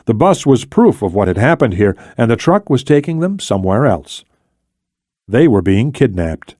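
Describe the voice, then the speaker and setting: Male narrator for thriller fiction: medium register, measured delivery, dramatic pauses, very little room sound. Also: medium pitch, big pitch swings, warm tone, no echo, studio quality. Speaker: audiobook narrator. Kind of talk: scripted thriller novel narration.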